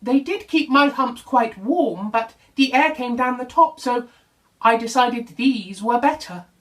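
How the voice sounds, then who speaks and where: Man doing a high, helpful lady camel. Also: a little slow, fairly bright tone. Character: helpful, apologetic female camel. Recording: voice distant from the mic.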